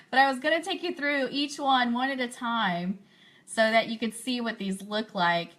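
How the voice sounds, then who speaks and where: A woman's voice: a little high, a little slow, fairly bright tone. A woman hosting a radio show livestream in a casual, chatty, informal way.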